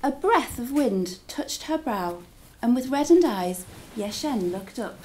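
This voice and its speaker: A woman's voice: on the high side, lively intonation, slow, mellow, a little hiss or hum, poor audio. A woman teacher reading a story aloud to children in a dramatic, engaging way. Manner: dramatic, engaging listeners with questions.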